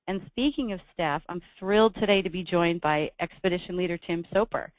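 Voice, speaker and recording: Woman host, slightly low voice, dry close sound, upbeat scripted webinar delivery. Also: no echo, low-quality recording. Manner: reads from slides, brisk and upbeat with filler ums. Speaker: webinar host for a travel company. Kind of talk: scripted sales presentation.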